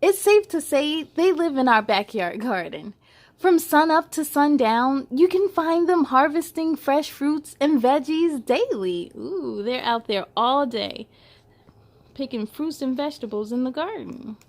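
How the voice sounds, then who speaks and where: Female children's read-aloud host, high voice, animated and playful storytelling delivery. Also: measured pace. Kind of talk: expressive read-aloud for children with chatty asides.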